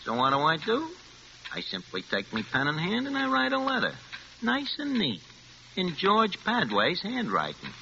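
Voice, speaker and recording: An actor in a 1940s-style radio drama playing a brash, streetwise New swindler, slangy and full of stammering bluster. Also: male voice, high voice, huge pitch swings, moderate pace, creaky, bright, forceful tone, slight background noise, exaggerated voice. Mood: cocky. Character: brash, streetwise American swindler narrating his own scheme. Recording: dull vintage radio recording with music.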